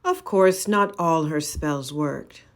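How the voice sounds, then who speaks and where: A woman reading a folk tale aloud in an expressive, dramatic storytelling style. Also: low-pitched, takes it slowly. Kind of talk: expressive scripted story narration.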